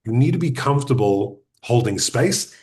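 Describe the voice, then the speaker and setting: A man teaching a podcasting workshop in a conversational way, speaking deliberately, using pauses and sometimes restarting his sentences. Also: mid-range voice, animated, darkish tone. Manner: addresses audience directly with practical advice.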